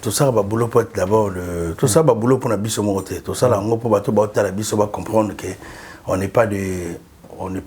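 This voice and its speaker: A man's voice: on the low side, brisk, slightly rough. A man from Congo with a Congolese French accent, talking animatedly as an interview guest, often repeating himself. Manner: animated, repetitive, switches between French and Lingala. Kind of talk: casual interview talk. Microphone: studio.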